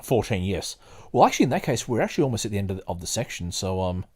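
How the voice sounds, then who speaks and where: A man hosting a podcast, moving between halting, digressive casual talk and reading aloud. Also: on the low side, sing-song, slightly rough. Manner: hesitant, halting, digressive when talking. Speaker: podcast host.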